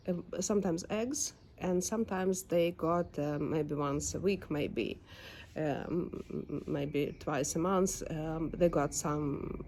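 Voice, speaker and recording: A young woman with a Ukrainian accent talks slowly and unhurriedly in a casual home vlog. Her voice is soft and mellow, and she pauses often with fillers while searching for her English words. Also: on the low side, a little hiss or hum. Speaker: dog owner vlogger. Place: home backyard.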